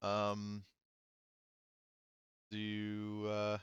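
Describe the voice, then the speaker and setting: A man speaking casually and haltingly as game master of a tabletop roleplaying game, thinking aloud as he goes. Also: on the low side, monotone, smooth, dry recording, lo-fi sound.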